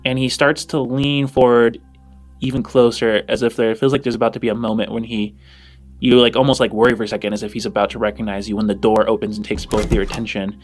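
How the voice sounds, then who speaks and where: A man working as game master, improvising descriptive narration for a tabletop game in a halting way. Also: lowish voice, level tone, faint music behind.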